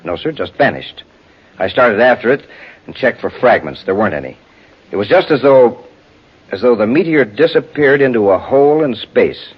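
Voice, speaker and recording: Husky, troubled space lieutenant. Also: male voice, mid-range voice, lively intonation, normal speed. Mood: troubled, puzzled. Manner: formal, hesitant. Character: troubled, respectful male space patrol lieutenant, defending himself earnestly. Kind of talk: 1950s radio drama acting. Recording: dull old radio recording.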